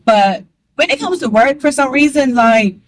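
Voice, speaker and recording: A woman talking fast and candidly to the camera, chatty and frank, with a strong voice. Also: high-pitched, lively intonation. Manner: chatty, candid, calls listener girl; fast talker. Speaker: vlogger. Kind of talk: casual talk to camera.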